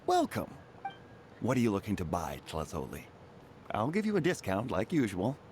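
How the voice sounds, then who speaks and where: An actor playing a friendly, welcoming merchant in a video game. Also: male voice, lowish voice, wildly swooping pitch, normal speed, darkish tone, a little hiss or hum. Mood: genial. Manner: genial, welcoming.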